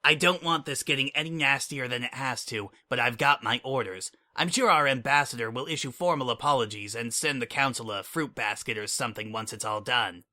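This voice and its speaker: A man's voice: mid-range voice, a little fast, hardly any pauses, bright, forceful tone. A man voicing a brash, smug female pony spy in a fan audiobook reading, speaking in a flippant, mocking way.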